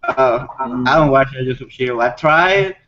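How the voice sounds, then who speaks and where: A man's voice: mid-range voice, a little slow, bright, forceful tone. A man in a casual group discussion, laying out his opinion point by point. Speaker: discussion panelist. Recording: dull lo-fi audio.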